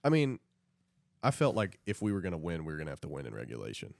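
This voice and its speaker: A man's voice: a bass voice, wildly swooping pitch, fast talker, gravelly, darkish tone, no echo, clean studio sound. A young American man chatting casually and reminiscing as a podcast co-host. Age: young adult. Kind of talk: casual chat, reminiscing about sports.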